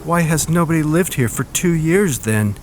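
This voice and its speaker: A man's voice: on the high side, lively intonation, normal speed, a little hiss or hum, pitched above the performer's own voice, natural voice. A man voicing a frightened young girl, anxious and pleading, in a dramatic horror story reading. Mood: uneasy dread.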